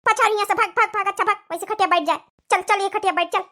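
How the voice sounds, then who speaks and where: Cartoon role: woman performer as elderly Awadhi mother-in-law, very high bright voice, doting, coaxing rural manner. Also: fairly even intonation, fast talker. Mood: scolding. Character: patient old village mother-in-law, doting and soothing. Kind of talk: Awadhi comedy cartoon voice acting.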